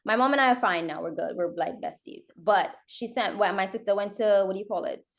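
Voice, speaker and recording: A woman's voice: mid-range voice, brisk, gravelly. A woman who grew up in Trinidad talks as a podcast guest. She tells her stories in a chatty, rambling way and leans on "like" as she goes. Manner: chatty storyteller, many "like" fillers. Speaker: podcast guest, makeup artist. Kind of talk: casual interview chat.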